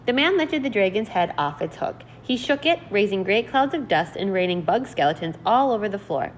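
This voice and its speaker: A woman teacher reading aloud and explaining in an expressive, deliberate, instructional way. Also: mid-range voice, a little hiss or hum. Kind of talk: read-aloud lesson, narrating and explaining vocabulary.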